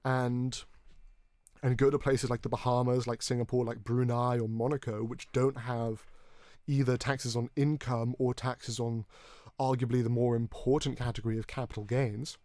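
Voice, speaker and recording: Male podcast host, from Hong Kong, mid-pitched, earnest and wordy, stumbles over words, close dry sound. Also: little pitch movement, a little mellow, no room sound. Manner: earnest, long-winded, halting with repeated words. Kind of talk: conversational political discussion.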